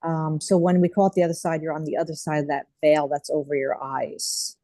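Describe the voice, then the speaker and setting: A woman's voice: sits low, level tone, fairly quick, no echo, studio quality. A woman hosting an online group share over a video call, chatting casually with many fillers. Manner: warm, chatty, frequent fillers. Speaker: group host.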